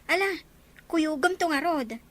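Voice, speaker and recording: A woman's voice: high-pitched, animated, a little slow. A woman with an Ilocano Filipino accent acting a concerned, helpful friend in an audio drama.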